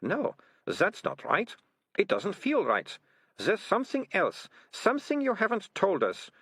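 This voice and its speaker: Man voicing an earnest young girl, high-pitched. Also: huge pitch swings, measured pace, fairly bright tone, breathy edge, no room sound, clean studio sound, heavily acted. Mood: puzzled, probing. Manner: pondering, matter-of-fact.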